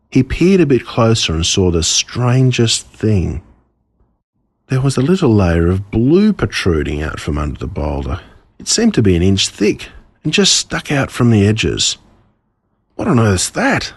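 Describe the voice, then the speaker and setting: A man with an Australian accent reading a scripted storybook in an expressive storytelling manner. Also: fairly low pitch, no room sound. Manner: expressive, storytelling in a child narrator's words. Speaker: author reading his own story.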